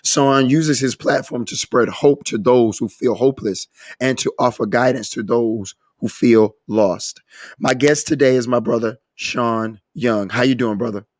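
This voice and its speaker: Guy hosting a podcast, kinda raspy from a cold, earnest, dry close sound. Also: medium pitch, a little slow, no room sound. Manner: earnest, repeats words and restarts with fillers. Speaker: podcast host. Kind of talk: casual podcast interview.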